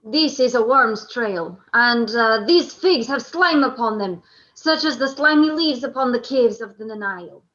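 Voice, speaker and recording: A woman's voice: fairly high pitch, animated, unhurried, fairly bright tone. A woman playing a dutiful, formal messenger in a Shakespearean radio play, declaiming verse on a dull recording. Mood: grave.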